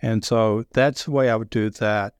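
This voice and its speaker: A middle-aged man with a deep Southern accent, talking loudly and telling sales stories with many restarts. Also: moderate pace, clean tone, dry recording. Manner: loud, animated storyteller with frequent restarts. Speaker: sales podcast guest. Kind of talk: casual conversation.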